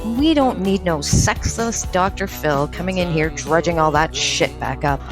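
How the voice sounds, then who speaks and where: Actress as brash small-town radio doctor, lowish voice. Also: female voice, a little slow, over loud music, close to an everyday voice. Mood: scornful anger. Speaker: radio show host character. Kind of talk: radio drama acting.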